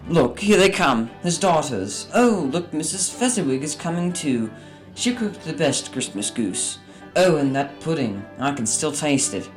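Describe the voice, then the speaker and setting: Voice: medium pitch, expressive, a little slow, natural voice. An old miser in a radio play, acted out by a performer, full of regret and feeling. The delivery pleads and exclaims, with music playing underneath. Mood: fond, nostalgic. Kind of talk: radio drama acting.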